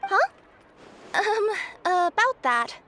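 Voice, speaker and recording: A woman's voice: very high voice, big pitch swings, slow, bright, forceful tone, heavily acted. A woman voicing an eager, easily flustered young cat-girl courier in a video game.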